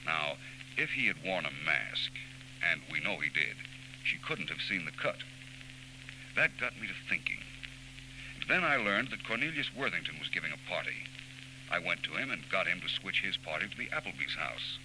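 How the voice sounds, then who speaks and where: An actor playing a suave, self-assured private detective in a 1940s radio drama, explaining things precisely and with cool confidence. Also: male voice, low-pitched, big pitch swings, moderate pace. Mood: smug, explanatory. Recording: noisy old radio recording with hum.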